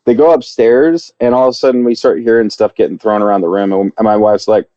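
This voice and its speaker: A man spins a long, meandering story on a podcast, circling back with fillers and half-finished starts. His voice is mellow and dark. The sound is dry and a little muffled. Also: lowish voice, steady tone, brisk, dry recording. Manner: rambling, many fillers and restarts. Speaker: podcast co-host. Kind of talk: casual storytelling chat.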